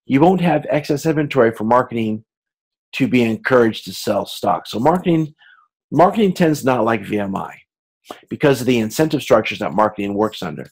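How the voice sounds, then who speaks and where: A man's voice: medium pitch, expressive, brisk, breathy edge. A man lecturing in a conversational, explanatory way, speaking directly to listeners with hesitations, repeated words and self-corrections. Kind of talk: informal lecture on business.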